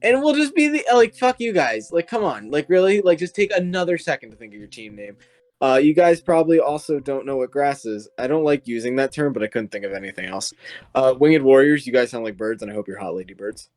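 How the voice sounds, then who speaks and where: A man's voice: mid-range voice, expressive, fast, a little mellow. A young man on a team, trading brash trash talk and mock boasts in crude casual banter. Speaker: team member, guest.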